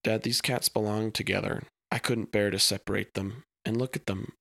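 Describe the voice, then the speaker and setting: Man voicing an earnest young girl, crisp and bright. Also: fairly low pitch, fairly even intonation, moderate pace, dry recording, full character voice. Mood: earnest, persuasive. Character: earnest, precocious, self-assured young girl talking to her dad. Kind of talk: storybook character voice.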